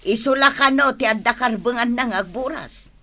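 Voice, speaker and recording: Bright, indignant Ilocano aunt. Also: female voice, a little high, moderate pace, a little hiss or hum. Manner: indignant, emphatic. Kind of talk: Ilocano radio drama acting.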